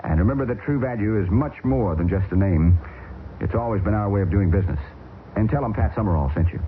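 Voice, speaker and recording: A man reading a radio commercial in a brisk, friendly sales pitch. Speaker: commercial announcer. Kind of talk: scripted radio advertisement. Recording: tinny old radio broadcast with hiss.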